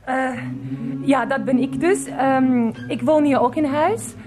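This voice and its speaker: A woman playing a frail, plaintive mistress in a radio play, halting and whiny, sprinkling in German words. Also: high-pitched, over music. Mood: languid, coy. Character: frail, ailing young mistress, plaintive and languid.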